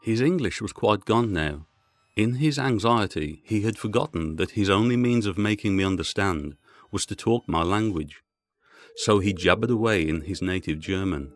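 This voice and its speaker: A man's voice: on the low side, animated, normal speed, soft background music. A man reading a gothic horror story aloud as a dramatic audiobook narrator.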